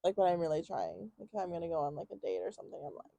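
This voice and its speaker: A young woman co-hosting a podcast, chatting casually with a friend, slang-heavy and quick to laugh. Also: fairly low pitch, expressive, gravelly, no echo. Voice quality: loud projecting voice. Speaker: podcast co-host. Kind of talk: casual chat.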